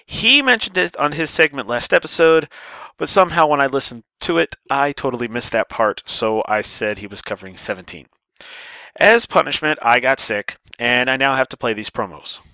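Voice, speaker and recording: A man's voice: medium pitch, moderate pace, dry recording. A man hosting a podcast, talking casually with a stuffy voice after a sinus infection, joking and poking fun at himself. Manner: lively, joking and self-mocking. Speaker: podcast host.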